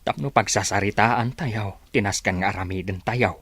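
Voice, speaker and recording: A man playing a troubled, resigned villager who laments in a deliberate way in a radio drama. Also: on the low side, a bit bright, husky, no echo. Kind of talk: Ilocano radio drama acting.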